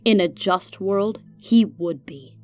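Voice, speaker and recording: A woman voicing a kindly older female renegade guide in an audio drama, measured and knowing. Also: mid-range voice, darkish tone, soft background music, natural voice. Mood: grim.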